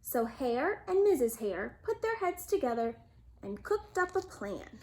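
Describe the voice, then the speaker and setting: High-voiced woman reading a slow bedtime story. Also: sing-song, a little mellow, poor audio. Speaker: children's storyteller.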